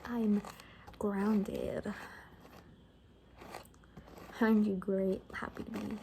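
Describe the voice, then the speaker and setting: A woman speaks softly in a relaxed, mellow voice that often slips into a whisper. She tells sassy, sarcastic gossip like a chatty survivor in an ASMR roleplay. A light layer of background noise sits behind her. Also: mid-range voice, very slow, vocal fry. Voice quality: soft, often dropping to a whisper. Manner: casual, sarcastic, gossipy storytelling. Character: sassy gossipy female apocalypse survivor giving a haircut.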